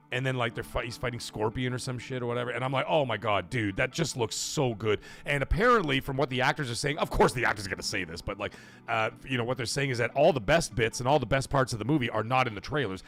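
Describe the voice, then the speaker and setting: Excitable rambling man hosting a live stream. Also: on the high side. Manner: rambling, excitable, swears casually, lots of fillers and restarts. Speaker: livestream podcast host. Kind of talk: casual live-stream chat reading chat names.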